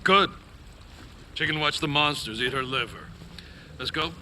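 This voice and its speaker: A man's voice: a little high, unhurried, sharp tone, a little hiss or hum. A man acting a callous, scornful fighter in a video game cutscene.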